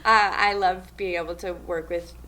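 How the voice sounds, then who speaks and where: A young adult woman from New Zealand, a stage manager answering interview questions in a loud, upbeat way, often laughing. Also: on the low side, steady tone, normal speed.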